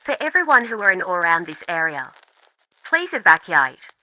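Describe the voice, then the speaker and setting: A woman's voice: on the low side, sing-song, moderate pace, sharp tone, poor audio. A woman giving a scripted live report as a field news reporter.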